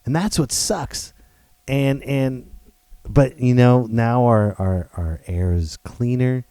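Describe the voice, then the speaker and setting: Man, podcast host, loud voice, rambling and playful, middle pitch, dead dry sound. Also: takes it slowly, clear, resonant tone, no echo. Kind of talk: casual solo podcast monologue.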